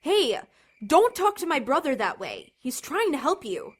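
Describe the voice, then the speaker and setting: A woman's voice: high-pitched, very animated, a little slow. A woman playing a bossy, protective teenage big sister in an audio drama, snappy and intense. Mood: scornful.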